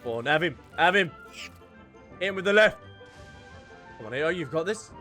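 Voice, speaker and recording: High-pitched, playful male gaming streamer. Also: animated, normal speed, sharp tone. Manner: playful, jokey play-by-play. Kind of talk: casual live game commentary. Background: game music.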